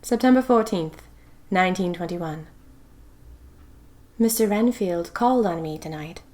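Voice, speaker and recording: A woman's voice: lowish voice, a little slow, lightly acted. Woman acting a spirited young 1920s diarist. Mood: breathless storytelling. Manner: expressive, wistful, theatrical. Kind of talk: dramatic first-person diary narration.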